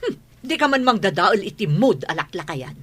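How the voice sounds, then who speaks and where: A woman's voice: mid-range voice, big pitch swings, normal speed, a bit bright, slightly rough. A woman acting in a radio drama as a defiant, wheedling wife and mother who gambles. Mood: annoyed.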